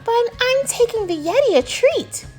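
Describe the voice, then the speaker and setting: Lady doing a eager lil boy adventurer from a storybook, really high n bouncy, music behind. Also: sing-song, normal speed, a little mellow. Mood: polite, apologetic. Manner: exclaiming, eager. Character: excited, plucky young boy explorer, hopeful and polite.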